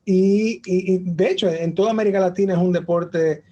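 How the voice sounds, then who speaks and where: A woman's voice: on the low side, fairly even intonation, normal speed, mellow, dull audio. A woman hosting an interview, hesitant and repeating words as she asks questions over a video call. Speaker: host.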